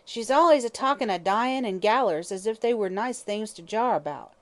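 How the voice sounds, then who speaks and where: Woman doing a croaking drunken old hag. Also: fairly high pitch, lively intonation, moderate pace, pitched above the performer's own voice, lightly acted. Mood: surly. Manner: croaking, snarling, coarse slang. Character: vicious drunken old female hag fortune-teller.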